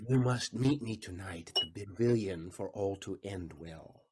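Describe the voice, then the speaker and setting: A man's voice: sits low, measured pace, noisy background. A man playing a lordly, commanding count. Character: Count Almaviva, lordly, commanding male aristocrat. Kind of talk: theatrical stage acting in an audio play.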